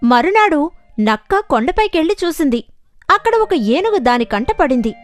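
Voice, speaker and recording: A woman's voice: sits high, sing-song, moderate pace, soft background music. A woman narrating scripted animal fables for children as a storyteller.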